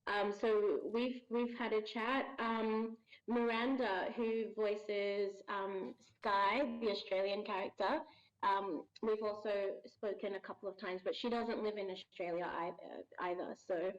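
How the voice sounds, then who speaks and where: A woman's voice: mid-range voice, fairly even intonation, a little slow, lo-fi sound. Woman, podcast guest on a video call; friendly, laughs often, chatty with fillers. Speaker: voice actor guest. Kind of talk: casual podcast interview. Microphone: remote video call.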